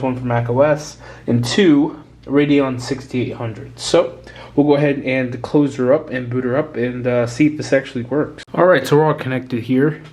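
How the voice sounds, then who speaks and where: A man with a stuffy, congested cold, talking casually through a hands-on computer build in a relaxed, chatty way, with frequent ums and self-corrections. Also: medium pitch. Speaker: YouTube tech vlogger. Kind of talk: casual hands-on tech vlog narration.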